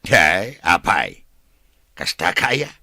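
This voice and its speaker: An actor playing a grumbling, sceptical older father in a radio drama, with exclamatory, drawn-out delivery. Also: low voice, sing-song, pushed and bright, dry recording, studio quality, full character voice.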